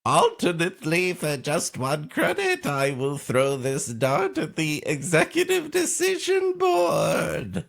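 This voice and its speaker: A man playing a comic carnival fortune-teller pitchman, declaiming in a mock-grand way for an audio comedy. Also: huge pitch swings, slow, vocal fry, hardly any pauses, full character voice. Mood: showy.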